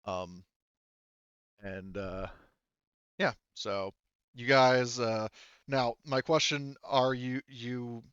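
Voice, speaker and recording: A man narrating a tabletop game as game master, conversational and halting, pausing to rephrase as he goes. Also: mid-range voice, a bit bright, clean tone, no echo, muffled recording.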